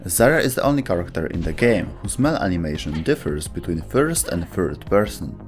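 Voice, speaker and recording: A man's voice: deep voice, sing-song, brisk. A man narrating a scripted video essay about game lore. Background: music.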